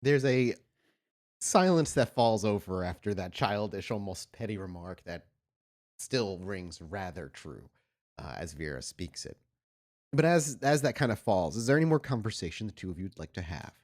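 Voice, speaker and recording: A man narrating as game master of a tabletop game, with measured, halting, dramatic phrasing. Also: lowish voice, animated, a little mellow.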